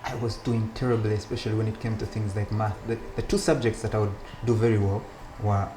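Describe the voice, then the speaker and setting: A man speaking as an interview guest, telling stories about his school years in a relaxed way, with frequent laughs and restarts. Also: lowish voice, fast talker, over music. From Uganda. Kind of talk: relaxed conversational storytelling.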